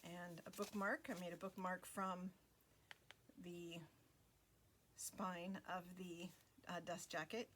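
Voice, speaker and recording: Relaxed, halting woman with low voice. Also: slight background noise. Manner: relaxed, many fillers and pauses. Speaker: craft video host. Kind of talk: casual flip-through narration.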